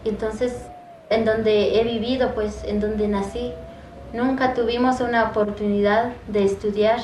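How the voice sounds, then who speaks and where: Echoey, even-voiced Guatemalan woman, learner's Spanish. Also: fairly high pitch, slight background noise. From Quiché, Guatemala. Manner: simple, halting Spanish of a recent learner. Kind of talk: personal testimony. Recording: echoey, distant, loud music behind.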